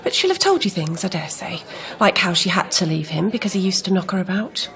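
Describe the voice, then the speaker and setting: A woman's voice: fairly low pitch, big pitch swings, a little fast, gravelly, fairly bright tone, heavily acted. An older woman acting a forthright, disapproving aunt with a grievance in a naturalistic radio drama. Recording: murmur of a crowd behind.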